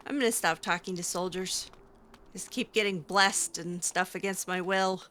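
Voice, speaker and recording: A woman's voice: fairly low pitch, fairly even intonation, normal speed, sharp tone, slight background noise. A woman playing a video game in character, giving casual commentary with wry, sarcastic, skeptical asides. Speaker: video game player roleplaying as a mage character.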